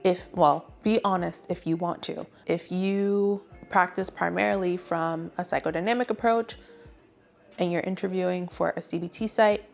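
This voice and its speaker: A woman's voice: on the low side, steady tone, normal speed, vocal fry, soft background music. A young adult woman hosting an advice video, talking casually and conversationally to viewers with emphasis on key points. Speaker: video host, graduate student giving advice.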